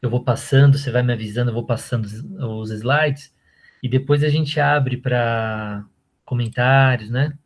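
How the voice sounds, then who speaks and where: A man's voice: mid-range voice, measured pace. A man moderating a workshop and informally introducing a guest, hesitant and often adding tag questions.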